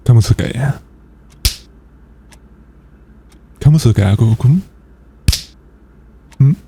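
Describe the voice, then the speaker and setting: An Indonesian man playing a possessive, jealous yandere boss in an intimate ASMR roleplay, soft and husky, teasing and controlling with a menacing sweetness. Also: fairly low pitch, a little hiss or hum. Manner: slow, teasing, controlling, with drawn-out pauses.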